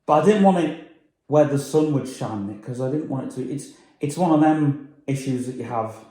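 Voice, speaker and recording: A man talks loosely through his vlog, trailing off and filling gaps with hums and ums. His voice sits at a middle pitch with a soft, mellow tone. The room around him rings with echo. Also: expressive, normal speed. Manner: rambling, hesitant, many fillers. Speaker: vlogger. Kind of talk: casual chat.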